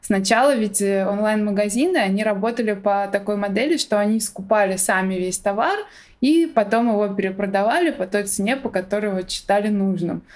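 A woman's voice: mid-range voice, brisk, darkish tone. A woman speaking as an interviewed guest, explaining things informally step by step with some hedging. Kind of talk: conversational explanatory interview talk.

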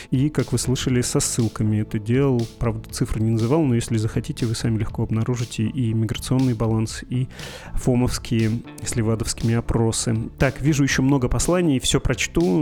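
A podcast host from the Perm region answering listener letters in a dry, ironic, argumentative way. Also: male voice, mid-range voice, mellow. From Perm region, Russia. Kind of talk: reading and answering listener mail.

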